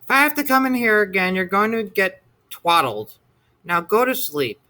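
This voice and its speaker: A man voicing an exasperated monster mother who scolds her little one at bedtime, high and sing-song. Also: huge pitch swings, a little slow, fairly bright tone, heavily acted.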